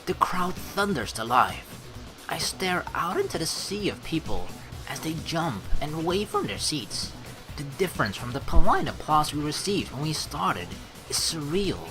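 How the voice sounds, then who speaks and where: A man reading a game aloud as a let's play host, with excitable commentary and dramatic narration. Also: a little high, a little slow, over music.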